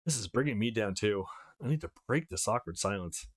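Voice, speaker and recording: A man's voice: on the low side, animated, fairly quick. A man playing an earnest, easily embarrassed young man in a visual novel, with self-conscious inner monologue. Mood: somber. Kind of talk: visual novel character reading.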